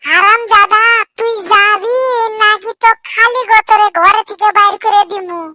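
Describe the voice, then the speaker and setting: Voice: extremely high pitch, steady tone, a little slow, sharp tone, full character voice. A performer voicing a bossy, short-tempered young village wife in a comic cartoon, scolding sharply in a rural Bangladeshi accent. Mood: threatening.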